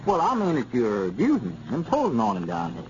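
A man's voice: mid-range voice, huge pitch swings, brisk, darkish tone. A man playing a reasonable, earnest small-town American townsman in a 1930s radio comedy serial. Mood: reproving, patient. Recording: muffled, noisy 1930s radio recording.

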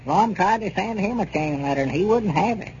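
Old Southern country storekeeper, high voice, fretful, stubborn, folksy drawl, 1930s radio comedy, muffled noisy recording. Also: male voice, expressive, a little fast, a little mellow. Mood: fretful, defensive. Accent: rural Southern US.

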